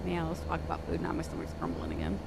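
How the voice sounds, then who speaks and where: Lively chatty woman co-host. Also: extremely low pitch, fast, gravelly, very noisy. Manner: chatty, reads chat aloud, laughs often. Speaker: stream co-host. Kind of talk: casual live-stream chat.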